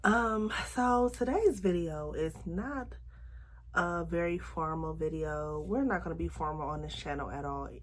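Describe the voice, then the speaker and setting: A young woman talks frankly to her viewers in a relaxed, chatty way. Her voice sits a little low and is touched with rasp, since she is getting over a cold. She stretches words out for emphasis. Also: unhurried. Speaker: makeup artist and vlogger. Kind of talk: casual talk to camera.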